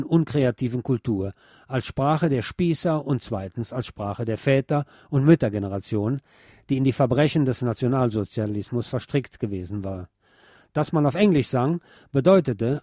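A man reading a scripted cultural essay aloud in a measured, formal, literate manner. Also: mid-range voice, dark tone, no room sound, clean studio sound. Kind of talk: scripted spoken essay on pop history, read aloud.

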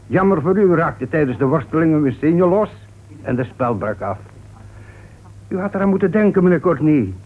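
An actor playing a methodical, confident police inspector in a radio detective drama, speaking formally and deliberately, with an accusing manner. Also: male voice, mid-range voice. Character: methodical, confident male police inspector closing a murder case. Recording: tinny, noisy old radio recording.

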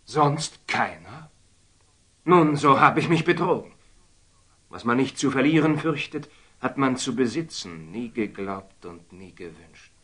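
An actor playing a proud, hot-headed young knight in a classic German radio drama, intense and theatrical. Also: male voice, medium pitch, expressive, a little slow, a bit bright, slightly rough. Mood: indignant. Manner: intense, theatrical, breaking into stunned whispers.

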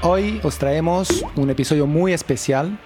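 A man's voice: on the high side, unhurried, over music. A man hosting a radio show, introducing guests in a chatty, joking way. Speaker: radio host. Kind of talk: on-air radio interview.

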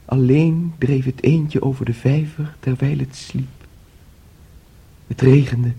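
Troubled, husky, dreamy young man. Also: medium pitch, fairly even intonation, dark tone, exaggerated voice. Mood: dreamy, despairing. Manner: brooding, dreamy, halting. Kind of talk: radio play acting.

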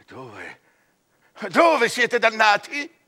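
An actor playing a proud scholar turned necromancer, commanding and questioning in a grandiose theatrical verse style for radio drama. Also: male voice, high voice, huge pitch swings, very slow, sharp tone, heavily acted. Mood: probing, curious.

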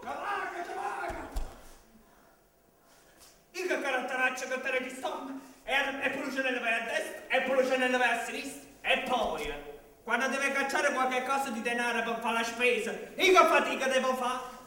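A man's voice: extremely high pitch, normal speed, bright, forceful tone. A man playing a grumbling, hungry commedia dell'arte servant with a lilting, sing-song way of complaining. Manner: complaining, lilting, breaks into sung refrains. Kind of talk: commedia dell'arte radio theatre acting. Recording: very echoey room, distant mic.

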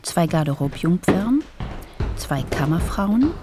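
A woman's voice: lowish voice, sing-song, unhurried, noisy background. A woman reading out headings and documents formally in a radio play, matter-of-fact and clipped. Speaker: announcer and document reader. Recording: music behind the voice.

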